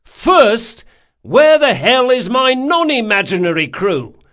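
A man voicing a foul-mouthed young sea captain in an audiobook, shouting commands and theatrical insults. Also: very high voice, big pitch swings, slow pace, voice pushed up, full character voice. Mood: mock-furious. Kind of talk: audiobook character acting.

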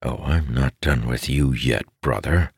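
A man's voice: low voice, expressive, measured pace, dry recording, clean studio sound, pitched above the performer's own voice. A man voicing a spoiled, hot-tempered younger brother in an audiobook, terse and sarcastic. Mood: angry, defiant. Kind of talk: audiobook character voice.

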